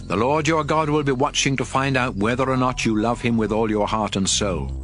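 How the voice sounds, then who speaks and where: Biblical lawgiver, male, played by an actor: solemn, exhorting, measured, with animated mid-range delivery over faint music. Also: medium pitch, natural voice. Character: male prophet lawgiver solemnly addressing his people. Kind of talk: dramatized scripture reading.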